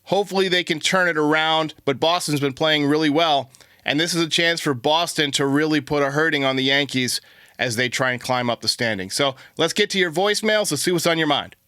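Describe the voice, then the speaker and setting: A man's voice: on the high side, brisk, bright, forceful tone, clean tone, no room sound, clean studio sound. A man hosting a sports podcast, giving blunt, opinionated baseball commentary and answering listener voicemails.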